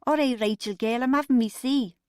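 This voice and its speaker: A woman's voice: on the high side, fairly quick, darkish tone, smooth, no echo, clean studio sound, pitched above the performer's own voice, close to an everyday voice. A woman voicing a small, fierce female counsellor in an audiobook, barking and bossy. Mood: roaring, angry. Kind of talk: audiobook character dialogue.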